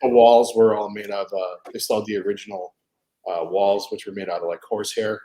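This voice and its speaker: Fast-talking man hosting a video call. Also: lowish voice, fairly even intonation, mellow. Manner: quick, easygoing storytelling. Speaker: host. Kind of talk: casual video call chat.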